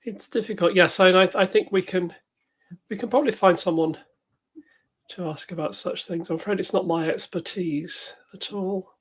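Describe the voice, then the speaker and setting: A woman's voice: on the low side, moderate pace, breathy edge. A woman with an English accent chatting casually as co-host of a technical workshop, tentative and thoughtful, often rephrasing as she goes. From England. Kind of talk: casual chat during a live technical workshop.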